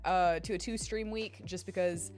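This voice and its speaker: A woman's voice: lowish voice, steady tone, normal speed, a little mellow. A young woman streamer chatting casually with her viewers, her voice strained and tired, rambling and swearing freely. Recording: faint music behind voice. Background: faint music.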